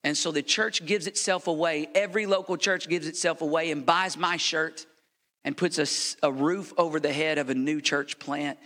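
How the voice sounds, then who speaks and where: A bright, forceful male preacher from the United States delivers a heartfelt missionary sermon in a church sanctuary. His voice echoes with a long reverb and swings from ringing appeals down to near-whispered lines. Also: fairly high pitch, steady tone, normal speed. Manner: passionate, preacherly, swings from loud to hushed. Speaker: missionary pastor. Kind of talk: sermon with a prayer. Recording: reverberant hall.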